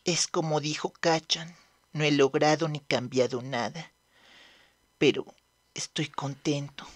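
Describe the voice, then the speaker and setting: A man's voice: fairly high pitch, steady tone, unhurried, no room sound. A man voicing a timid, earnest, polite teenage boy in an anime fan dub, with a hesitant, apologetic manner.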